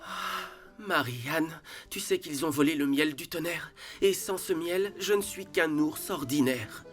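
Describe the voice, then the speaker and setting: Man acting a gentle, worried papa bear. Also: a little high, unhurried, faint music behind, lightly acted. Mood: dejected. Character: gentle, worried father bear, adult male. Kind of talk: animated film dialogue.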